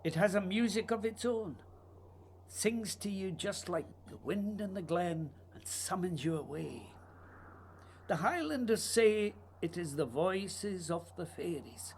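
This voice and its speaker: An actor playing an intense, whimsical playwright in a radio play, theatrical and emphatic, with a husky voice. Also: male voice, sits high, measured pace, a little hiss or hum. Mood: dreamy, haunted. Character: intense, whimsical Scottish playwright, insistent and dreamy. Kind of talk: theatrical radio drama acting.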